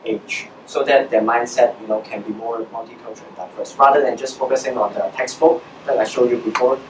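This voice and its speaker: A young man from Hong Kong, speaking with a Hong Kong accent, gives a talk in an explanatory way. His voice sits at a middling pitch. It comes from some distance in an echoey room, with faint noise behind it. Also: flat delivery, a little fast. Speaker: social entrepreneur giving a guest talk. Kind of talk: public presentation to students.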